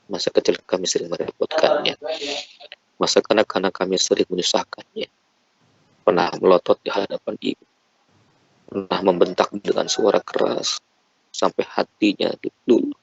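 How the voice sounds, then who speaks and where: A man's voice: deep voice, moderate pace, gravelly, pauses often, husky, dry recording, highs cut off. A man leading a congregation in an emotional prayer, pleading fervently with repeated invocations. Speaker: prayer leader.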